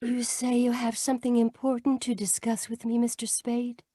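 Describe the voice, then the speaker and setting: Give this actress's wealthy radio-mystery lady a cool, haughty air. Her voice is rather high and soft in tone, recorded dry, with formal, dismissive, curt phrasing. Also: monotone, normal speed, dry recording, natural voice. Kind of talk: old-time radio mystery acting. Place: home, live video call performance.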